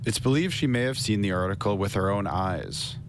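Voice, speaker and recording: A man in his thirties narrating a literary radio drama in a measured, solemn, deliberate way, close to the microphone. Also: fairly low pitch, animated, noisy background. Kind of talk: scripted literary narration, poem recital. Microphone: large microphone on a stand, close. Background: string instruments playing.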